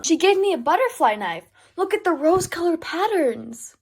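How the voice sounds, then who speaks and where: Small child for a radio play: very high, eager, innocent. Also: female voice, sing-song, moderate pace, full character voice. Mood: excited. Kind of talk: amateur radio play acting.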